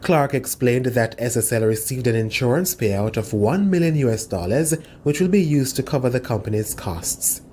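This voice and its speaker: Mellow-voiced man reading business news. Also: medium pitch, animated, slight background noise. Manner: measured, formal news delivery. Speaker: news reader. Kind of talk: scripted news narration.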